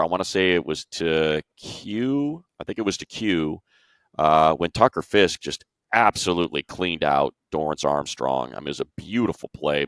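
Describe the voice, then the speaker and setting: A man's voice: deep voice, dry recording, clean studio sound. A man casually talking sports as an analyst, repeating phrases for emphasis.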